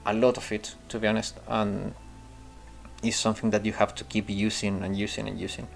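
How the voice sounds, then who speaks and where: A man's voice: on the low side, little pitch movement, fairly quick, gravelly, faint music behind. Man chatting while gaming. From Italy. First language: Italian. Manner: rambling, thinks aloud with restarts and fillers. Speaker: streamer. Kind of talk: casual gameplay commentary and chat replies.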